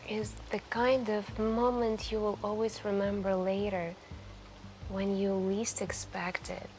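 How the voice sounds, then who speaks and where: A woman playing a mysterious, charming stranger in a café, speaking softly and intimately in an ASMR roleplay with musing pauses. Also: mid-range voice, little pitch movement, slight background noise, close to an everyday voice. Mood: reflective, inviting. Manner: slow, musing, gently flirtatious, pausing between phrases. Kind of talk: soft-spoken ASMR roleplay, intimate storytelling. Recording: voice over music and falling rain. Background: music and rain.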